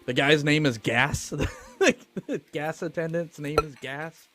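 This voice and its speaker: A man's voice: a little high, measured pace, bright, forceful tone. A man co-hosting a podcast, talking casually about films in a chatty, enthusiastic, sweary way. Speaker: podcast co-host. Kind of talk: casual film discussion.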